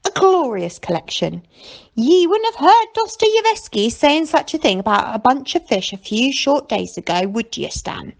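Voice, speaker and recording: A woman voicing a gruff, wistful fairground stallholder man in a storybook, talking in folksy dialect. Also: high voice, big pitch swings, a little slow, pitched above the performer's own voice, exaggerated voice. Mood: marvelling. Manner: folksy dialect speech, marvelling.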